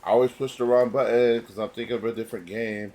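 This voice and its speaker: A man's voice: mid-range voice, steady tone, quick pace, darkish tone, slight background noise. A man with a stuffy nose giving casual, rambling gameplay commentary as a streamer, often confused by the controls and mocking himself. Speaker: gaming streamer. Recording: voice over game music. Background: game music.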